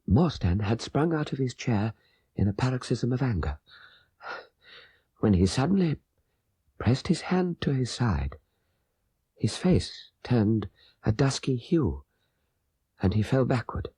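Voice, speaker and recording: A man voicing a guilt-ridden, dying old father in an audiobook, halting and laboured, with a breathy voice that fades into whispers. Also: medium pitch, big pitch swings, warm tone, dry recording, exaggerated voice. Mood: haunted, halting. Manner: halting, laboured deathbed speech. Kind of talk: dramatic audiobook character voice.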